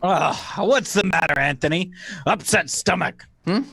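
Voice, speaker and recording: A man's voice: high voice, a little slow, vocal fry, bright, forceful tone, husky, no echo, full character voice. A man playing an affable, slightly baffled friend in a comic radio play, solicitous and hesitant.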